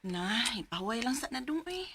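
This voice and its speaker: A concerned woman, fusses and nags in a high voice. She sounds colloquial and caring in a radio drama manner. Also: very animated, moderate pace, loud music behind the voice. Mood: concerned, fussing.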